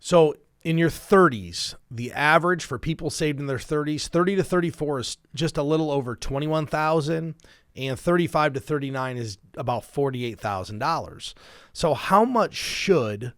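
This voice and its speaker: A man's voice: on the high side, moderate pace, no echo, studio quality. A middle-aged man hosting a personal finance show, explaining things conversationally and acting out everyday examples as he goes. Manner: explanatory, illustrates with hypothetical first-person quotes. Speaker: financial planner and show host.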